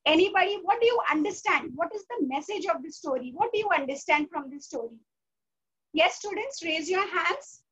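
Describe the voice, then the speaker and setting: A woman's voice: very high voice, animated, moderate pace, a bit bright, low-quality recording. A woman teaching an online class for children, patient and repetitive, checking with "okay?" often. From India. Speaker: school teacher.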